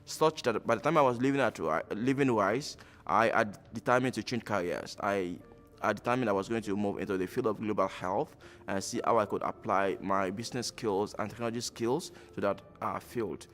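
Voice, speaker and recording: Nigerian man, 30s, lowish voice, thoughtful interview subject with pauses, faint music behind. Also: a bit bright. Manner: reflective, with frequent "uh" pauses. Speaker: alumnus interviewee. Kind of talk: documentary interview.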